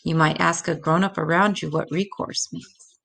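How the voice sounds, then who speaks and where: An older woman reading a rhymed children's religious story aloud in a measured, deliberate way. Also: sits low, steady tone, creaky, fairly bright tone.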